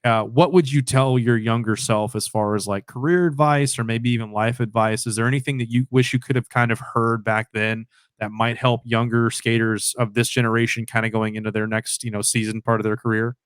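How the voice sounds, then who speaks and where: A man's voice: mid-range voice, fairly even intonation, fairly quick, hardly any pauses, clear, resonant tone, no room sound, studio quality. A young man hosting a podcast from home, asking long, winding questions in a chatty way. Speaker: podcast host. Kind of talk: podcast interview. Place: home room with headset mic. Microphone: studio mic on stand.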